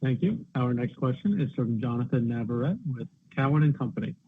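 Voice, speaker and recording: A man's voice: medium pitch, expressive, brisk. A man making scripted announcements as a conference call operator. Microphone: telephone. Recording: noisy, tinny phone line.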